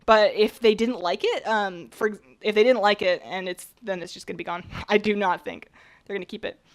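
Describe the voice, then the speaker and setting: A woman's voice: medium pitch, brisk. A young woman from British Columbia streaming from her home kitchen, chatting casually and rambling while she bakes and reads chat, her voice worn from a recent cold. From British Columbia, Canada. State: recovering from a cold.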